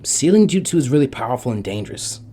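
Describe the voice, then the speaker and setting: A man's voice: mid-range voice, sing-song, quick pace, warm tone, slightly rough. A man voicing a cocky, upbeat teenage ninja boy in a fan fiction reading. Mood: serious.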